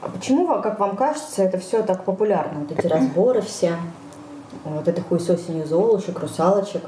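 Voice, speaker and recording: A woman's voice: fairly low pitch, animated, a little fast, mellow. A woman co-host chatting casually in an inquisitive, wry way, often putting questions. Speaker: podcast co-host. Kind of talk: casual chat. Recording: roomy echo, faint background noise.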